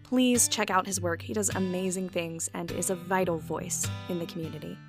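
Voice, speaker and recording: A woman hosting a casual podcast interview over a video call, enthusiastic and quick to laugh. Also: lowish voice, fairly even intonation, music in the background. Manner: warm, enthusiastic, laughs often. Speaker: podcast host and audio drama creator.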